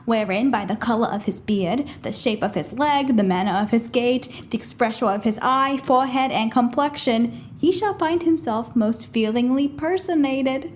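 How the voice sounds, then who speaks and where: A woman playing a sharp-witted, mischievous serving woman who schemes, in a theatrical Shakespearean audio play. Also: a little high, normal speed, hardly any pauses, slight background noise, lightly acted. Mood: gleeful scheming.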